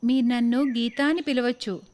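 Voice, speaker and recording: A woman voicing a kind, hospitable young village woman in an animated moral story, speaking politely and eagerly. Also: fairly high pitch, normal speed, a little hiss or hum, close to an everyday voice. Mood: welcoming.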